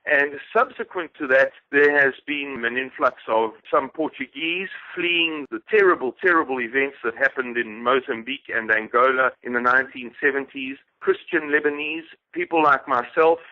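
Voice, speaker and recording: A man's voice: mid-range voice, no echo. South African man on a tinny phone line. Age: 40s. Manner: formal, measured and precise. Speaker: guest, activist. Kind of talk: phone interview. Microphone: telephone.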